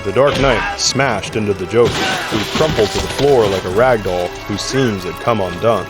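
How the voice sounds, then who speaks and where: A man's voice: on the low side, moderate pace. A man narrating an audio drama in a dramatic, scripted storytelling style. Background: dramatic music.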